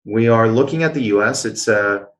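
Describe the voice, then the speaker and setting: A man's voice: lowish voice, moderate pace, a bit bright. A man from Canada, a guest answering interview questions in an explanatory way, with many filler sounds. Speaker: guest, blockchain executive.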